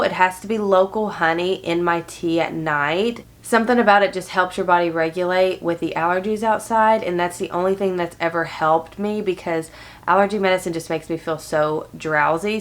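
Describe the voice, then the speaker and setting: A woman in her thirties with a nasal, congested voice from allergies, chatting upbeat to camera in a cozy living room. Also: on the low side. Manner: chatty, upbeat, friendly direct address. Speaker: lifestyle vlogger. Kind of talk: casual talk to camera.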